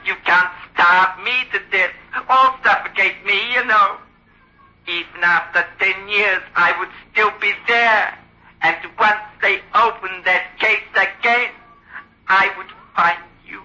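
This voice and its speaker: A sinister male dummy come to life, with a high, piercingly bright voice. He taunts and threatens in old radio horror style, through a dull, lo-fi recording. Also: steady tone, slow, heavily acted. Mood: menacing. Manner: taunting, needling. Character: sinister living male ventriloquist's dummy, defiant and menacing.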